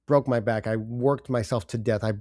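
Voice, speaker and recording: An older man hosting a personal podcast, congested from a cold, speaking in a halting, subdued way with pauses and fillers. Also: mid-range voice, steady tone, a little mellow, dry recording, studio quality. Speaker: podcast host. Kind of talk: personal confessional monologue.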